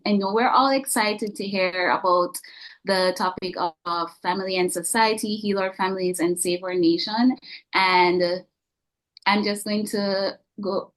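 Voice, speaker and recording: A woman giving an informal welcome speech, earnest and a little halting as she finds her words. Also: mid-range voice, rough recording. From Jamaica. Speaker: organisation ambassador giving greetings.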